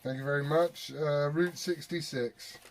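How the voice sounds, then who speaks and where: A man's voice: fairly high pitch, fairly even intonation, a little slow, noisy background. A British man chatting casually as a CB radio operator, blokey and sweary in his talk. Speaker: CB radio operator and video maker.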